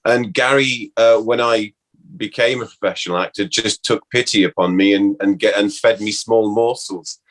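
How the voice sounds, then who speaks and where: A man, an actor being interviewed, reminisces casually in a halting way, often interrupting himself. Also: on the low side, fairly even intonation, fairly bright tone, low-quality recording.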